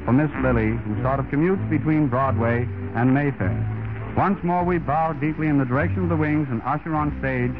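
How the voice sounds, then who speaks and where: A man's voice: medium pitch, moderate pace, over music. A man hosting a 1930s radio show, introducing acts in formal, florid showman's phrasing. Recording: muffled, noisy 1930s radio broadcast.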